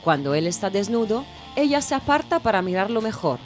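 A woman performs an excitable, wry narrator with a mid-range voice that moves up and down a lot. She tells an audio drama story like running commentary, over prominent music. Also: mid-range voice, a little fast, close to an everyday voice. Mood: wry narrating. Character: wry, excitable female narrator of a racy tale.

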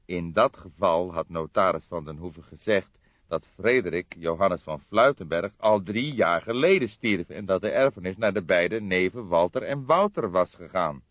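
Casting: male narrator as seasoned old detective, fairly low voice, wry, calm, choosing words carefully, for a crime audiobook. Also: no echo, muffled recording. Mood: insistent. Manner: careful, deliberate. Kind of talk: audiobook character voice in a detective story.

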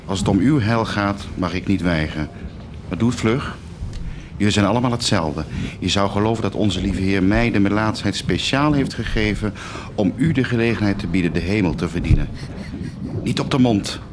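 A sardonic leper outcast played by a man in a radio drama, weary and dryly grumbling. His voice sits low, and the recording carries clear noise. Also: animated, normal speed, natural voice. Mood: grudging, sardonic. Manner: dry, grumbling. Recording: noisy radio play recording.

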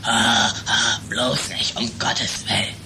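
A woman playing a shady, jittery male tramp and jailbreaker with a hoarse, whispery voice in an improvised audio drama. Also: very deep voice, fairly even intonation, takes it slowly. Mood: jittery, scheming. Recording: noisy home-made recording.

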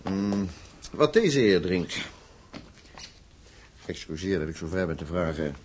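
An actor playing a blunt, disdainful army officer in a Dutch radio play, with a clipped, condescending manner. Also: male voice, low voice, lively intonation, takes it slowly, a little mellow. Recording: noisy old radio play recording.

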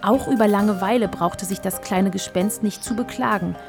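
An actress playing the narrator of a children's audio drama, telling the tale in a composed, measured, scripted way. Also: female voice, mid-range voice, creaky, music in the background, close to an everyday voice. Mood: composed storytelling.

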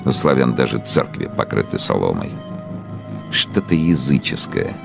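An actor playing a cold, imperious ideologue in a radio drama monologue, speaking with deliberate, commanding delivery. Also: male voice, extremely low pitch, very animated, vocal fry, heavily acted. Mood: coolly satisfied. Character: cold, imperious ideologue musing on ruling conquered people. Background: faint music.

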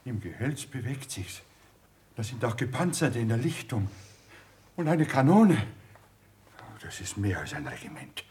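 A man's voice: medium pitch, big pitch swings, measured pace, long pauses, a little mellow. An actor playing an anxious middle-aged peasant father, rustic and agitated, in a radio drama. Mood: alarmed.

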